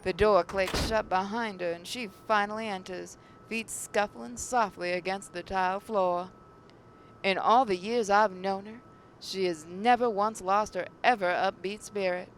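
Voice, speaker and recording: A faint rumbling noise sits behind a woman with a mid-pitched voice. She reads visual novel narration aloud as a gaming host, now and then stumbling over a word. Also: steady tone, a little slow, low-quality recording.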